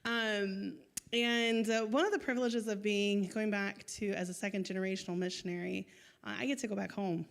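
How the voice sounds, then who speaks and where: A woman's voice: mid-range voice, steady tone, moderate pace, gravelly. A young woman giving a chatty, upbeat missionary testimony at church into a handheld mic, with many ums. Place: church sanctuary. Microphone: handheld microphone.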